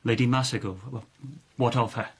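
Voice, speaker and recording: An actor playing a calculating, authoritative lord of the palace in a radio drama, speaking in a formal, measured, oratorical way. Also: male voice, mid-range voice, slightly rough. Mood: wary.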